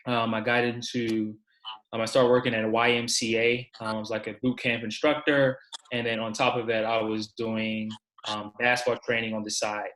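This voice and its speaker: Young male guest from Maryland on a video call, flat even delivery, relaxed, filler-heavy. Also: mid-range voice, normal speed, rough recording. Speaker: basketball coach, guest. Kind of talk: casual interview answers.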